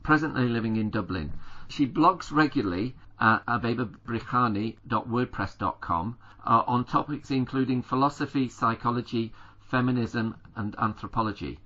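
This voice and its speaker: Male podcast host, bright and forward, measured questioner, slightly dull audio. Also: medium pitch. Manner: measured, asks long framing questions. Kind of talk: conversational interview.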